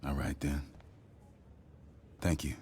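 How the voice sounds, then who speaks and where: Very deep-voiced, weary dad. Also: male voice, little pitch movement, slow, vocal fry, darkish tone, slight background noise, heavily acted. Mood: polite. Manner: hesitant, plain-spoken. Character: weary down-on-his-luck middle-aged father, amiable, worried. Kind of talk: naturalistic video game acting.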